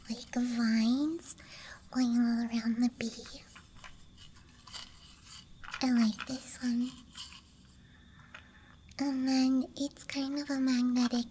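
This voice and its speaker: High, slow, whispering ASMR woman. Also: slight background noise. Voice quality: soft, breathy whisper. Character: friendly dice goblin hoarding her treasures. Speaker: ASMR performer. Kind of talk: whispered ASMR roleplay, rambling show-and-tell. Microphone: close ASMR microphone. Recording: close mic, audible ambient night sounds.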